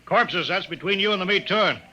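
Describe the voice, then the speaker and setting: Sharp, blustering bureau chief. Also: male voice, high-pitched, expressive, fairly quick, exaggerated voice. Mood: stern, officious. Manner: brusque, bossy, clipped orders. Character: blustering, impatient human colonial bureau chief. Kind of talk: old-time radio drama acting.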